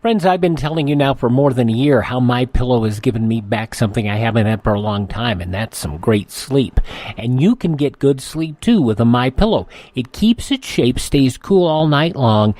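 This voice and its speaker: A man's voice: medium pitch, lively intonation, moderate pace, a little mellow, no room sound. A man announcing the program and reading a commercial in a folksy, personal way. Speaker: announcer and ad reader. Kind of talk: scripted radio announcement.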